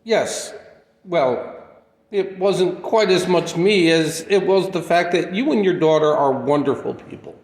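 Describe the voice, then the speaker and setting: An actor playing a gentle, humble, lonely drifter in a radio play, speaking with polite, mild sincerity. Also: male voice, high voice, measured pace. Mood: humble, sincere. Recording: echoey, reverberant room.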